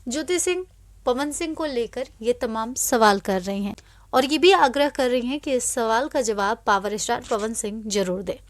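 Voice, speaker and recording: A woman's voice: a little high, animated, normal speed, no room sound. A woman reading scripted celebrity news as an anchor, in an emphatic, dramatic storytelling way.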